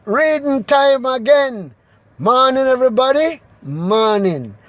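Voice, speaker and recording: A man with a Jamaican accent doing an expressive, emphatic scripture reading in Patois. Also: very high-pitched, dry recording. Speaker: Bible reader.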